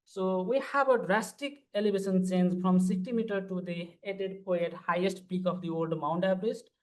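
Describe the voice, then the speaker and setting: A man's voice: high-pitched, steady tone, moderate pace. Earnest man presenting. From Nepal. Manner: earnest, formal, walks through slides step by step. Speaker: PhD student panelist. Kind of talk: conference panel presentation.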